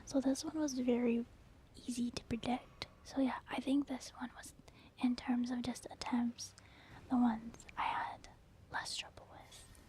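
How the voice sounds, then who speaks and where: A woman whispering softly and breathily in a casual, rambling ASMR commentary over video gameplay. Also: a little high, little pitch movement, unhurried. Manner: casual, rambling, gentle explaining. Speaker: gaming ASMR video host.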